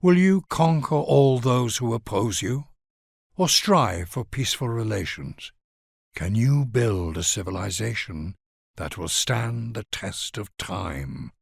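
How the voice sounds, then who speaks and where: Male narrator for epic strategy game: mid-pitched, gravelly edge, highly expressive melody, stately formal address, very dry recording. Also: slow pace, no echo. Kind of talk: grand scripted narration.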